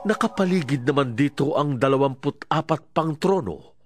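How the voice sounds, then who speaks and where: A Filipino man playing an awestruck visionary who narrates what he witnessed in a reverent, deliberate way, in a scripture audio drama. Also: a little high. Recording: clear music bed behind voice.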